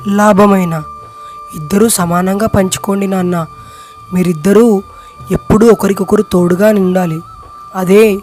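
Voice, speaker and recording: A performer acting male family roles in a moral story, with deliberate phrasing and pauses. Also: medium pitch, darkish tone, natural voice. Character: dying loving father, and selfish cunning elder brother. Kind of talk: acted story dialogue. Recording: music under the voice. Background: music.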